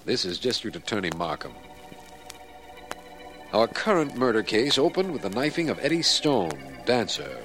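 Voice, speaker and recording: Animated official district attorney, radio drama. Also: male voice, medium pitch, normal speed, faint music behind. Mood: formal, narrating. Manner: formal, clipped, businesslike. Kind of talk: 1950s radio drama acting and narration.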